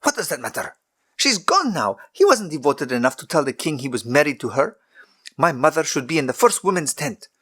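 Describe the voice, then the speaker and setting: A man's voice: a little high, big pitch swings, brisk, sharp tone, pitched above the performer's own voice, exaggerated voice. A man voicing an agitated young boy complaining with resentment. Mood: resentful.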